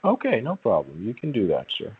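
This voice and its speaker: A low-pitched man works through a persuasive phone pitch in a formally polite way. He hesitates and repeats phrases as he explains. His voice comes over a dull, band-limited telephone line. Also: wildly swooping pitch, breathy edge. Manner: halting, repetitive, formally polite. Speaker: phone caller posing as a prize agent. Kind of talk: persuasive phone sales talk.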